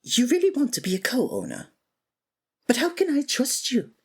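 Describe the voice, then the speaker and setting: A woman performing a scripted villain roleplay, breathy and theatrical, exasperated and swinging abruptly between registers. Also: a little high, huge pitch swings, normal speed. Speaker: ASMR voice actor playing a villain.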